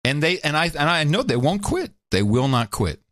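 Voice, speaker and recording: A man co-hosting a podcast in casual chat, excitable and quick to laugh. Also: a little high, no echo, studio quality. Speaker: podcast co-host.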